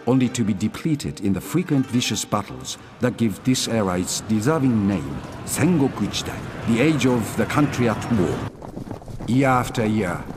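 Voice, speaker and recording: An actor playing a solemn, proud narrator of samurai history, giving dramatic, emphatic video game cutscene narration. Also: male voice, on the low side.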